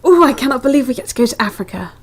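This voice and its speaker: Urgent, excitable woman, played by an actress, extremely high and fast, for superhero audio fiction. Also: breathy edge, full character voice. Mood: excited.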